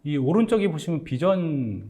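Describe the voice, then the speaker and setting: A man's voice: on the high side, big pitch swings, moderate pace, warm tone. A man giving an explanatory business presentation as a company executive, speaking politely and conversationally with English tech terms mixed in.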